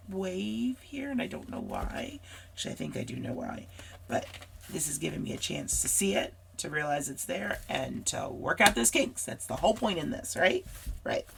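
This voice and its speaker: A woman hosting a scrapbooking vlog, hoarse from a cold and losing her voice, chatty and rambling as she talks straight to her viewers. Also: medium pitch, a little hiss or hum. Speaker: scrapbooking channel host. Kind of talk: casual craft supply walkthrough.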